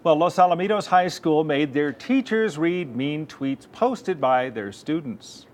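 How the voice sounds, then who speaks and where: A man's voice: fairly high pitch, expressive, measured pace, a little mellow, slight background noise. An American man co-anchoring morning TV news, moving between reading stories and casual banter.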